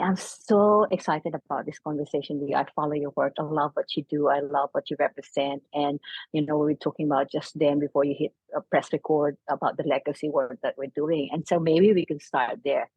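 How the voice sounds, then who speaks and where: A woman's voice: low voice, fairly even intonation, brisk, a little mellow, breathy edge, no room sound. A woman from the Philippines with a Filipino accent, a native Tagalog speaker, talking conversationally as an interview guest. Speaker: podcast guest, non-profit founder. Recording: dull lo-fi remote call audio.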